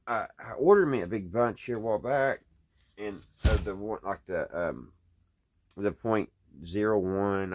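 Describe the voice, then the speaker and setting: Guy, folksy, just thinking out loud while he tinkers at the bench. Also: sits low. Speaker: hobbyist radio repairer, video host. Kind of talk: casual unscripted hands-on repair vlog. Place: indoors at a workbench.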